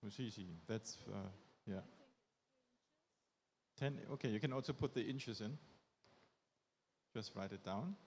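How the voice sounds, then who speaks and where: A man's voice: fairly low pitch, a little slow, long pauses, darkish tone, slight background noise. A man presenting live on a conference stage, upbeat and coaxing audience volunteers up front.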